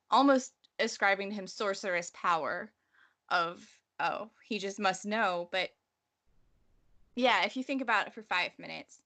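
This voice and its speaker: A woman's voice: mid-range voice, bright, forceful tone. A woman co-hosting a casual book discussion, explaining her opinions in a slangy, conversational way. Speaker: podcast co-host.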